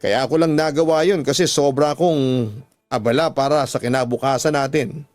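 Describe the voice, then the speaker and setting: A man's voice: mid-range voice, fairly even intonation, moderate pace, darkish tone, no echo, close to an everyday voice. A man voicing a hurt, frank wife. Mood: reproachful. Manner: frank, reproachful. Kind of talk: radio drama acting.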